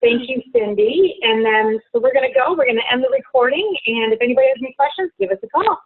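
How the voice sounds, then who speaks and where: A woman from Ontario hosts a travel webinar over a video call, chatty and reassuring. Her pitch sits a little high and swings a lot. The sound is dry and squeezed like a phone line. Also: expressive, fast talker, no room sound. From Ontario, Canada. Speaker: travel agent hosting a webinar. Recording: tinny phone-like call audio.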